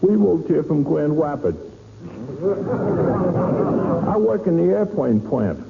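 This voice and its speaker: An actor playing a fussy, timid, overly polite neighbor who repeats himself, in 1940s radio comedy style. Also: male voice, a little high, expressive, slow pace, gravelly, phone-quality sound, full character voice. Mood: polite, giggly. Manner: prissy, repeats himself, corrects people. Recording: noisy, thin 1940s radio broadcast.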